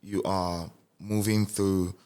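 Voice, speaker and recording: A man hosts a relaxed interview, his voice very low. He asks probing, reflective questions and restarts phrases as he searches for the right words. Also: takes it slowly, clear, resonant tone. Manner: thoughtful, probing, stumbles over wording. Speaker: podcast host.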